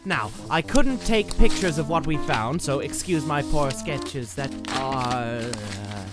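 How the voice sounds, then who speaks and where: An eager, studious cartoon cup character, acted by a man, lectures in an emphatic, rambling way. The pitch swoops up and down with excitement, and loud music plays behind. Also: slow. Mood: eager lecturing. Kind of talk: comic dub voice acting.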